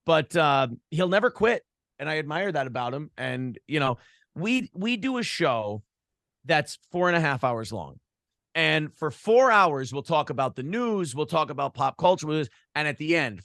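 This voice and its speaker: Blunt, higher-voiced American man. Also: fairly bright tone, dry recording, clean studio sound. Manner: blunt, opinionated, profane. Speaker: morning show host and former radio broadcaster. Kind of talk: casual podcast interview.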